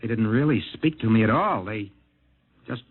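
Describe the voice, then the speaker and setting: Husky rattled young test pilot, urgent, tinny old radio sound. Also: male voice, on the low side, animated, normal speed. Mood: earnest. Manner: earnest, urgent. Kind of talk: 1950s radio drama acting.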